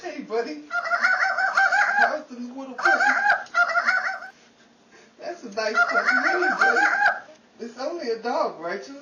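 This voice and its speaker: An actor playing a vain, cheap, scheming husband in a 1940s-style radio comedy, with an excitable, whiny, blustering manner. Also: male voice, extremely high pitch, measured pace, pauses often, highs cut off, full character voice. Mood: panicked. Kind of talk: 1940s old-time radio comedy acting. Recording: echoey, distant, noisy with crowd ambience.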